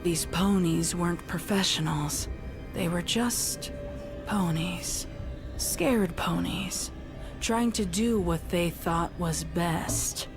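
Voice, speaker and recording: A woman reading a dark story in a dramatic, deliberate and suspenseful way. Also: fairly low pitch. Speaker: audiobook narrator of fan fiction. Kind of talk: dramatic scripted story narration. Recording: loud music under the voice. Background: music.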